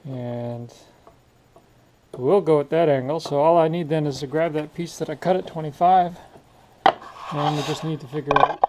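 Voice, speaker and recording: A man talks through his woodworking in a home workshop, thinking aloud in a relaxed, unhurried way. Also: fairly high pitch, slight background noise. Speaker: woodworking hobbyist. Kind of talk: casual workshop narration.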